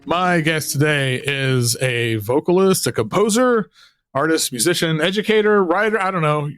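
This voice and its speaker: A man's voice: a little high, moderate pace, a bit bright, smooth. A man hosting a music podcast, introducing his guest with rambling enthusiasm. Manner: enthusiastic, rambling, many fillers. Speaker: podcast host.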